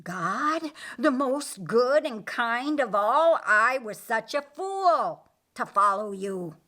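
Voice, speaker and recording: A betrayed demon voiced by a woman storyteller accuses with bitter fury. The audiobook creature is intense and emphatic, spacing out each charge slowly. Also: on the high side, expressive, bright, forceful tone, heavily acted. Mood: bitter accusation. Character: bitter, betrayed demon, enraged chained clawed attacker.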